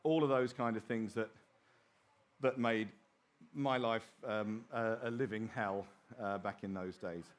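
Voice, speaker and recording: A middle-aged man speaks as a presenter at a technical conference. His voice sits a little low. He explains things informally, slipping in wry asides. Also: fairly even intonation, measured pace. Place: small open presentation theatre at a trade show.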